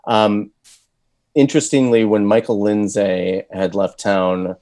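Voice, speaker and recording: A man's voice: on the low side, a little slow, darkish tone, dry recording. A man, a voice actor, talking casually and thoughtfully in an interview, with many fillers and restarts. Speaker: voice actor guest.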